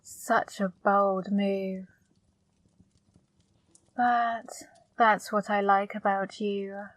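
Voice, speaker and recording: A woman playing a flirty, teasing female demon in an intimate ASMR roleplay, speaking softly and breathily, coaxing and gently scolding up close. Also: mid-range voice, little pitch movement, very slow, dry recording. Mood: gently chiding. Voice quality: soft, breathy, partly whispered. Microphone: close microphone, dry.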